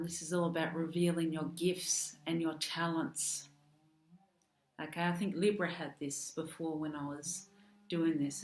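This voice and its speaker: A woman with an Australian accent who is losing her voice, giving a chatty tarot card reading with soft laughs and fillers. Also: sits low. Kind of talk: casual direct-to-camera tarot reading. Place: home room with garden work outside. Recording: echoey room, faint outdoor garden work noise.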